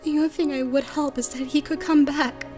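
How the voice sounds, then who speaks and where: Girl acting sympathetic young american woman in a radio play, sad, bit high, soft music behind. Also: steady tone, brisk, natural voice.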